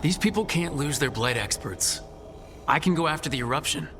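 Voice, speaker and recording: An actor playing a resolute, caring young hero in a video game, direct and lightly wry. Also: male voice, a little high, lively intonation, fairly quick. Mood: determined, wry. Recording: clear music behind the voice.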